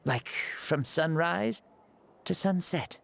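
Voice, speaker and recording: A man's voice: on the high side, animated, slow pace, vocal fry, a bit bright, exaggerated voice. An actor voicing a jovial, theatrical ancient hero reborn in a boy's body for a video game. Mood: breezy, rueful. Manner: playful, theatrical storyteller. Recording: game music behind the voice.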